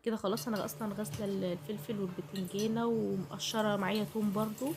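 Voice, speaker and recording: An Egyptian woman narrates a recipe in a casual, chatty style, speaking directly to viewers. Her pace is extremely fast and her pitch sits in an average female range. A heavy cold alters her voice, and some background noise and clicking runs under the recording. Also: steady tone. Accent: Egyptian Arabic. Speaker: home cooking vlogger.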